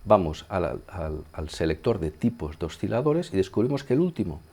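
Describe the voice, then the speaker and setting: Mellow low-voiced man from Spain, tutorial style. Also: big pitch swings, normal speed, husky. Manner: conversational, explains step by step, checks in with listeners. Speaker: sound engineer demonstrating a synthesizer. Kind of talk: informal video tutorial, explanatory. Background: synthesizer sounds being demonstrated.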